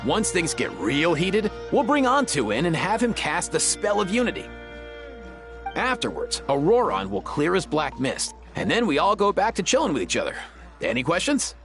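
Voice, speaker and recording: An easygoing young video game character who likes things organised. His voice is a little high and very animated, with clear music playing behind it. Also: moderate pace, exaggerated voice. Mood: upbeat. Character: easygoing, organised young man running the plan.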